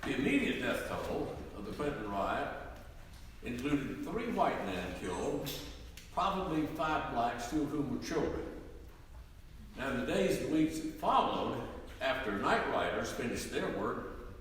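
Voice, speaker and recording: A man's voice: mid-range voice, sing-song, a little slow, fairly bright tone. A man narrating scripted history for a dramatic reading. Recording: very echoey hall, distant mic, faint noise.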